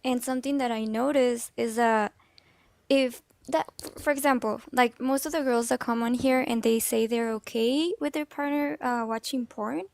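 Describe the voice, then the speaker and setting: A woman's voice: fairly high pitch, fairly even intonation, normal speed, creaky, dry recording. A woman in her twenties, not a native English speaker, calling in with a girlish voice and a hesitant way of talking. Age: 20s. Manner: hesitant, with fillers and light laughs. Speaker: caller. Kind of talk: casual call-in talk.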